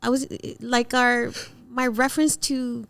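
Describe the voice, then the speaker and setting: A woman's voice: a little high, little pitch movement, measured pace, creaky, a bit bright, smooth. A woman from Venezuela, a native Spanish speaker, hosting a casual panel and telling personal stories emphatically. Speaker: panel host, actress.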